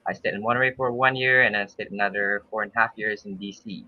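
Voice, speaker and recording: A man's voice: fairly low pitch, fairly even intonation, fast, dry recording. A man in his thirties from Malaysia, a swimming coach talking easygoingly with many fillers and some laughter. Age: 30s. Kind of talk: casual podcast interview. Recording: dull lo-fi sound.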